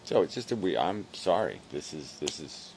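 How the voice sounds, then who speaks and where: A man rambling casually in a weary, low-energy, self-deprecating way, halting and swearing as he talks to camera. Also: sing-song, vocal fry, a little mellow, slight background noise. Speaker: video blogger.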